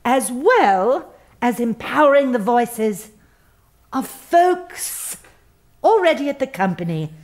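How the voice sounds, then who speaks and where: High-pitched, sanctimonious female HR manager. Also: very animated, a little mellow. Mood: gushing, self-congratulatory. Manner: over-solicitous, gravely sanctimonious, deliberate. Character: earnest, self-important female HR manager at tech start-up. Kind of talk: satirical comedy radio play acting.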